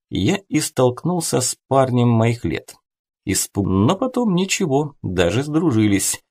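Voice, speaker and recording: A man's voice: mid-range voice, darkish tone, breathy edge. A man reading a scripted first-person story as an audiobook narrator.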